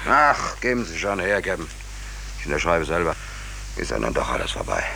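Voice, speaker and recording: A man's voice: low-pitched, big pitch swings, a little fast, creaky, pushed and bright, full character voice. An actor in a 1940s German radio drama playing a defiant, indignant, stubborn stateless American seaman. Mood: bitter resignation. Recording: noisy old 1940s radio recording.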